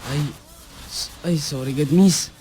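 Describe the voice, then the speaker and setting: A man's voice: on the high side, measured pace, mellow, slight background noise, natural voice. A man with a Zamboanga Filipino accent playing an apologetic, hesitant suitor in an improvised comic radio drama. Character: apologetic, stingy suitor inviting a woman to dinner.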